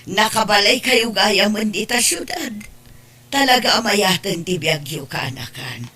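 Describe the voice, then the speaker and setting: A woman's voice: mid-range voice, expressive, unhurried, bright, forceful tone, breathy edge, full character voice. A woman playing an older, gossipy and tearful provincial aunt in a radio drama, with an Ilocano Filipino accent. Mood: moved, apologetic.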